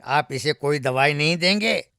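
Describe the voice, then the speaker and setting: An irritated village landowner, acted out by a male storyteller. The voice is forceful and clear, with an indignant, complaining manner suited to a comic folktale. It sits a little high and sounds dry. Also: lively intonation, normal speed, no echo, full character voice. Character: irritated landowner with a sick pet dog.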